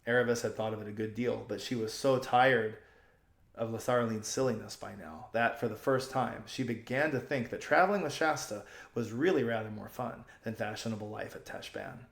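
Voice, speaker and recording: A man reading a children's story aloud as an expressive audiobook narrator. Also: lowish voice, fairly quick, vocal fry, reverberant.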